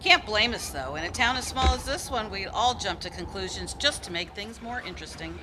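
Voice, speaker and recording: A woman playing a brash, boastful small-town Western girl in an amateur radio play, excitable and bossy. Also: medium pitch, a little fast, noisy background, natural voice. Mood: sheepish. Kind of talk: amateur old-time radio play acting. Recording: music playing behind the voice.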